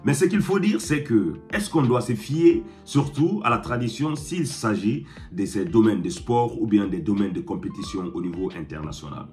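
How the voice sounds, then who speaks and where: A man commenting on a video in a measured, questioning tone. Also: on the low side, lively intonation, darkish tone, soft background music.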